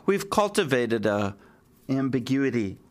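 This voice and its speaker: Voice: medium pitch, very animated, unhurried. A band member guest in a casual interview, answering with dry jokes and laughs.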